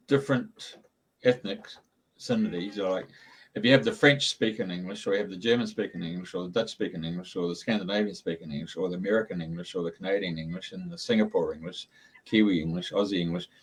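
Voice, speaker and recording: Low-voiced man, hesitant podcast host. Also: brisk, husky, poor audio. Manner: hesitant, many fillers, searching for words. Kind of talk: casual podcast conversation.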